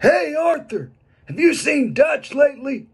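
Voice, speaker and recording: A man voicing an earnest, exasperated young cowboy gunslinger from a western video game parody, with a raspy, loud drawl. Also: extremely high pitch, sing-song, a little slow, exaggerated voice. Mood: curious.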